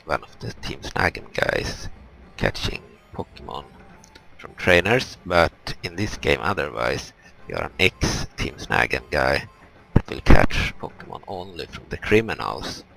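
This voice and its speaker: Man, rock-bottom pitch, bright forceful edge, casual game commentary, halting, explanatory. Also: sing-song, gravelly, low-quality recording. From Europe. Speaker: gaming video host. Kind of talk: casual let's play commentary.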